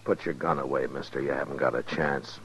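A man's voice: very deep voice, fairly even intonation, brisk, a bit bright, slightly rough, exaggerated voice. An American man playing a hard, unflinching Old West marshal, terse and commanding, in 1950s radio western style. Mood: calm, firm. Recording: muffled 1950s radio recording.

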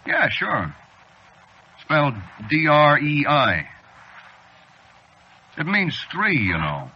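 A man's voice: a little high, huge pitch swings, slow pace, full character voice. An actor playing a folksy, evasive, faintly ominous bartender in a 1940s radio drama, drawing out his words. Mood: folksy, teasing. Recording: dull, hissy old radio recording.

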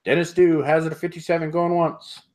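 Guy running an online auction over a video call, voice a bit high, repeats the bids over and over, earbud mic. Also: fairly quick. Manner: steady repetitive bid calling, laughs often. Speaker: auctioneer host. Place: home room with sports memorabilia. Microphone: earbud headset on video call.

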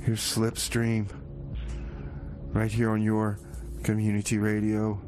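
A man hosting a community radio music show, with a folksy, theatrical, halting delivery that repeats phrases. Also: on the low side, completely flat delivery, a little mellow.

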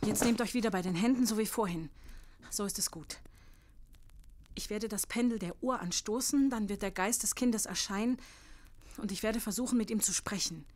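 A woman playing an anxious but resolute mother in a horror radio play, speaking gravely and carefully. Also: mid-range voice, moderate pace, darkish tone, lightly acted. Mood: tense, careful.

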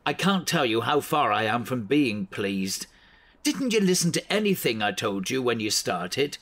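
A bossy newspaper editor in a radio play. He scolds bluntly and with authority, his exasperated voice swinging widely in pitch. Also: sing-song, normal speed, fairly bright tone. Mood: angry, exasperated. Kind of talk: audio drama acting.

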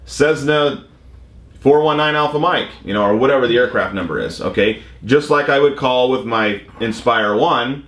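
An American man in his fifties talks in an informal, explanatory way, like a vlog host walking viewers through details. His voice sits at an average pitch, and the room around him adds clear echo. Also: normal speed, fairly bright tone. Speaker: vlog host, commercial drone pilot. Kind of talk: conversational explainer. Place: indoor workshop or studio room. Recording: roomy, echoing indoor sound.

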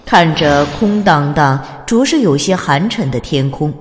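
A woman's voice: sits low, lively intonation, unhurried. A woman reading a fantasy audiobook as narrator, in a dramatic scripted storytelling style, with reverb on her voice.

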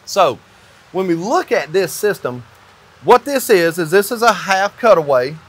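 High-pitched man explaining step by step. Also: big pitch swings, unhurried, breathy edge. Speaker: company owner explaining construction. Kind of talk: hands-on explanatory demonstration. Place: indoors in a metal-walled barn or shop.

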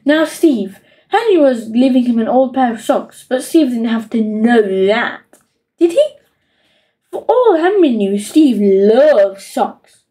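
A woman reading a children's audiobook as an expressive storyteller. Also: on the high side, slow. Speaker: audiobook reader.

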